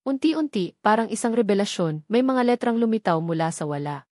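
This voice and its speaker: A woman's voice: mid-range voice, animated, brisk, darkish tone, no echo, clean studio sound. A woman with a Filipino accent narrating a dramatic story in the first person.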